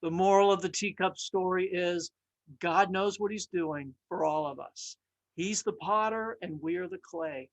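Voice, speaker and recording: A woman storyteller reading aloud in an expressive, earnest, devotional style with deliberate phrasing. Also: lowish voice, rough recording.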